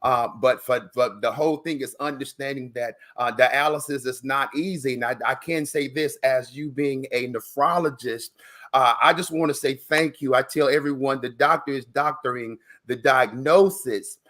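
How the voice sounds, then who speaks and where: A man's voice: medium pitch, normal speed, a bit bright. A man hosting an interview in a casual, encouraging way, speaking earnestly and repeating words for emphasis. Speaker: host and interviewer.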